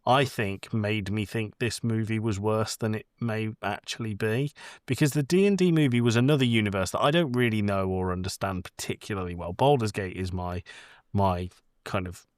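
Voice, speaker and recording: Low-voiced man chatting about films. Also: normal speed, dry recording, clean studio sound. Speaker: podcast co-host. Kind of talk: casual movie discussion.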